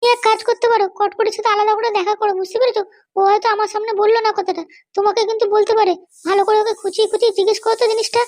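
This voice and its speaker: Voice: very high voice, little pitch movement, racing. A performer voicing a bossy, suspicious, scheming Bengali wife and mother in a comedy cartoon, with a childlike voice and a nagging, commanding manner. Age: middle-aged. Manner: nagging, commanding, keeps demanding to be understood. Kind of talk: animated comedy cartoon dialogue.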